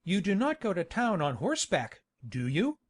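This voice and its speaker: A hearty country gentleman, as a woman reader puts him on, bluff and insistent. His voice bounces about in a lively way as he coaxes. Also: lowish voice, normal speed, breathy edge. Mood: coaxing, bluff.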